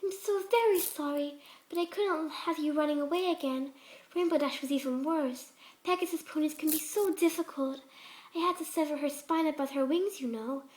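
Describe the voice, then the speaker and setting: A woman voicing a sweet, soft-spoken but twisted female pegasus pony surgeon, gentle and cooing in a story reading. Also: sits high, normal speed, voice pushed up, natural voice, poor audio. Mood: sweetly apologetic. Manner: gentle, cooing, serenely unhinged.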